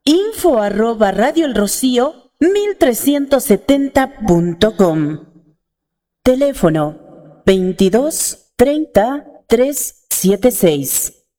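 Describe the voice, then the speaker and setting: A woman reading a scripted radio station identification in a formal, deliberate announcer's manner. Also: a little high, very animated, warm tone. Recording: long reverb.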